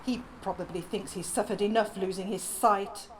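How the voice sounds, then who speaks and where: A woman's voice: medium pitch, steady tone, moderate pace, lightly acted. A woman playing a grieving, resentful mother sliding into madness, with brooding bitterness, in a horror audio drama. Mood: brooding, bitter. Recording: long reverb, faint noise.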